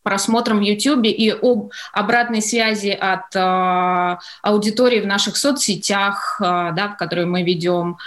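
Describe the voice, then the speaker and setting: Female radio guest, mid-pitched voice, measured, hesitant phrasing, executive explaining in a studio. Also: fairly bright tone. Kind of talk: radio interview answers.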